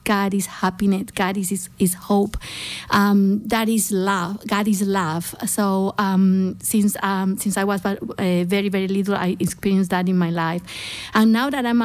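A middle-aged woman with a Bolivian Spanish accent gives a personal faith testimony, often searching for words. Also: medium pitch. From Santa Cruz, Bolivia. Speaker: guest speaker.

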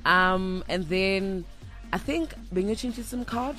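A young South African woman with a deep voice, talking candidly and playfully as an interview guest, slipping into Zulu at times. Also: measured pace, bright, forceful tone, faint music behind. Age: young adult. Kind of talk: casual radio interview, storytelling.

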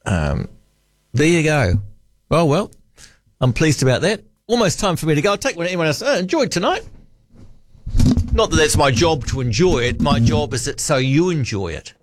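A man's voice: fairly high pitch, big pitch swings, normal speed, pauses often, no echo. A man with a New Zealand accent hosting a late-night talk radio show, chatty and wry, musing aloud. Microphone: studio microphone.

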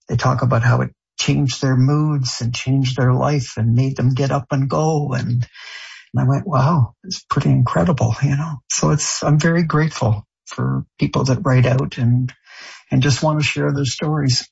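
A man born in Canada and raised in France, speaking in an interview with a gravelly, husky voice. He talks haltingly, full of fillers and restarts. The audio is dull and lo-fi. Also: mid-range voice, a little mellow. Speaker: show creator and songwriter. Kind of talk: interview answers.

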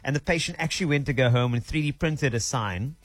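A man's voice: mid-range voice, quick pace, no echo, faint music behind. A man with a South African accent hosting a radio show, chatting and telling stories with easy laughs. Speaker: radio host. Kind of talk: casual radio chat. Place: radio studio. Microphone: studio microphone.

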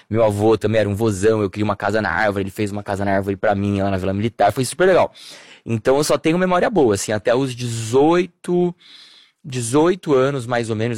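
Fast-talking Brazilian man reminiscing. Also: lowish voice, no room sound, clean studio sound. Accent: Brazilian Portuguese. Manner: warm, nostalgic storytelling with many fillers. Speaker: interview guest. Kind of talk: relaxed personal conversation.

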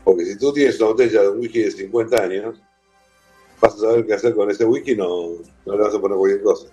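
Interview guest: Argentine man, low mellow voice, chatty and relaxed. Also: fast, slightly rough, soft background music. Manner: warm, chatty, explains with lists and repetition. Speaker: guest, whisky museum owner. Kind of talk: casual interview talk.

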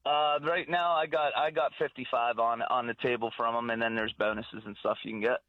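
A man's voice: medium pitch, fairly even intonation, fairly quick, no room sound. A male caller phoning into a radio show, chatty and self-deprecating, laughing often. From Pittsburgh area. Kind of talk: phone-in call on a radio show. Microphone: phone. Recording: muffled phone line.

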